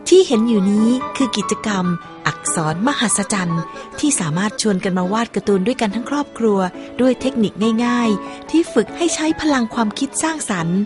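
A woman narrates a documentary report from a script. Her voice sits a little high, and her delivery is measured and polished. Music plays clearly behind her. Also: expressive.

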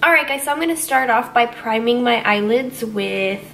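A woman's voice: a little high, expressive, moderate pace, fairly bright tone, far from the mic, a little hiss or hum. A young woman sounding congested, chatting casually through a makeup tutorial with lots of ums and likes, in a small bedroom. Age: young adult. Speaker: beauty vlogger.

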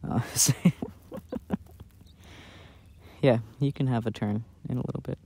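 A man doing a casual, intimate ASMR boyfriend roleplay in a soft-spoken, playful way, recorded outdoors. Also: mid-range voice, fairly even intonation, slow, gravelly. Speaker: audio roleplay performer playing a boyfriend. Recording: outdoor recording with background noise. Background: outdoor ambience and noise.

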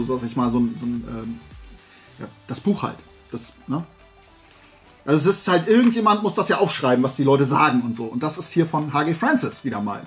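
Casting: middle-aged male vlogger, fairly high voice, rambling enthusiast, music underneath. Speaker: YouTube host and collector. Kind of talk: casual haul vlog chat. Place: indoor room full of shelved toys.

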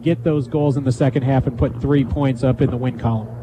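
A man's voice: medium pitch, fairly even intonation, fairly quick. A man working as a sports broadcaster, asking wordy, conversational questions in a post-game interview on a headset mic. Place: press box overlooking a soccer field at night. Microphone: headset microphone. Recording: clear outdoor stadium background noise.

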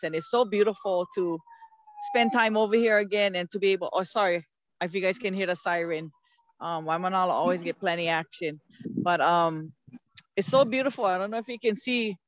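A woman's voice: mid-range voice, no echo. A woman in her fifties with a Hawaiian Pidgin-tinged accent, speaking earnestly to a webinar from an outdoor field on her phone, with many "um" fillers. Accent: Hawaiian Pidgin-tinged English. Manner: earnest, frequent um fillers, slows to savor moments. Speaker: community speaker. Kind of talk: casual webinar talk. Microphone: phone held close on a video call. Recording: dry, slightly muffled video call audio.

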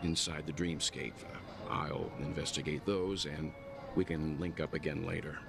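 A man playing a composed middle-aged gentleman mentor in a video game, with a gravelly voice and measured, formal delivery. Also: extremely low pitch, fairly even intonation, noisy background. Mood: calm, deliberate.

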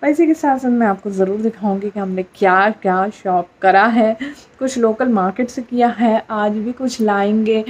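A woman's voice: mid-range voice, darkish tone, slightly rough, rough recording. A woman from India chats casually to her viewers as a vlogger, mixing English words into her talk.

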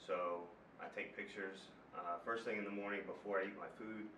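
An American man in his thirties vlogging casually indoors, pausing mid-sentence as he talks into a camera mic. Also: lowish voice, fairly even intonation, pushed and bright. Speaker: bodybuilder vlogger. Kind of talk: casual vlog talk to camera. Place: indoors, gym and home rooms. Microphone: camera mic at some distance. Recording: roomy, distant camera mic, clear background noise.

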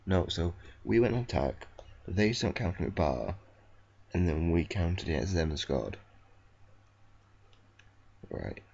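A man rambling through casual commentary on a football management game, flat and monotone because his throat feels off, swearing and correcting himself. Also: low voice, unhurried, creaky, long pauses, husky, highs cut off. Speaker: gameplay commentator. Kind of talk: casual let's-play commentary.

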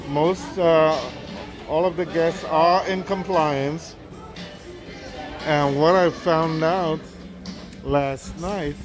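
A man narrating a casual walkthrough of a cruise ship buffet on his phone camera, relaxed, with frequent ums and uhs. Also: fairly high pitch. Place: large indoor ship buffet hall. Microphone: handheld phone camera.

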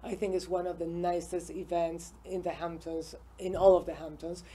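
A middle-aged woman from Peru, a guest on a studio interview show, talking in a relaxed, friendly way with many ums and uhs. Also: on the low side, slightly rough. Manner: warm, relaxed, fills pauses with um and uh. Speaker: interview guest, hotel owner. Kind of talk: casual interview chat. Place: small TV studio set with bookshelves.

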